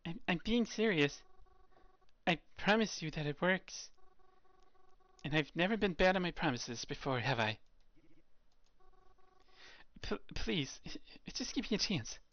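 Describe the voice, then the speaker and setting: A woman playing an earnest, gentle young medium in an audio drama, speaking hesitantly and with small stammers. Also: lowish voice, expressive, unhurried, long pauses, no echo, muffled recording. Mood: earnest, pleading.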